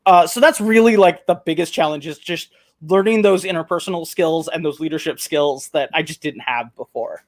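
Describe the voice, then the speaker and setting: A man answering interview questions as a software project maintainer over a video call, halting and thoughtful, with frequent "um" and "like". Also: a little high. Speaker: guest, open-source project maintainer.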